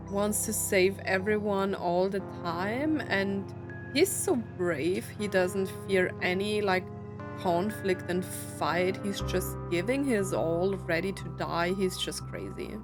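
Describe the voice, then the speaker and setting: A woman's voice: mid-range voice, lively intonation, slow, over music. A woman streaming a story game with chatty, informal commentary, thinking aloud in trailing musings.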